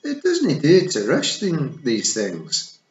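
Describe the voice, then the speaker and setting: A man voicing a tiny, fierce male pixie chief with a shrill, blustering Scottish accent. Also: wildly swooping pitch, slow, full character voice. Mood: scheming glee. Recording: echoey, slightly dull.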